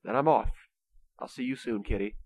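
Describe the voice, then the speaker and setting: A man's voice: mid-range voice, normal speed, a little mellow, no echo, lightly acted. A man playing a kindly, patient Saint Nicholas who reasons earnestly, in an amateur comedic radio play. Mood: reassuring, earnest.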